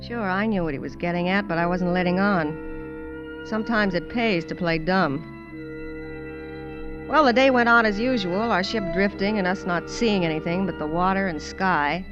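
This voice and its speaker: Casting: woman playing a wary, streetwise American adventuress narrating a radio thriller, plain slangy talk, dull vintage sound with loud music. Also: on the low side, normal speed, natural voice. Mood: tense recounting. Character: streetwise, guarded woman adventurer narrating her sea tale. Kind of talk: 1940s-50s American radio drama acting and first-person narration.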